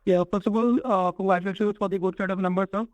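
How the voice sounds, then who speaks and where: A man's voice: high voice, steady tone, fast talker, dry recording. A man, an analyst asking polite, deferential questions on a muffled lo-fi call line.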